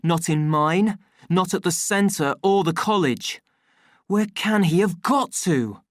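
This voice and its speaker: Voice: medium pitch, slow, no room sound, clean studio sound, pitched above the performer's own voice, natural voice. A reader voicing a worried, educated man in an audiobook, puzzling over a problem. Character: worried educated man searching for a missing friend.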